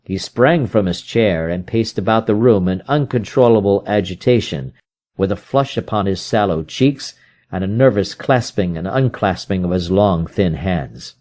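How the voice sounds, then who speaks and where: A man's voice: sits low, expressive, normal speed, a little mellow. A man reading classic fiction aloud as scripted audiobook narration. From United States. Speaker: audiobook reader.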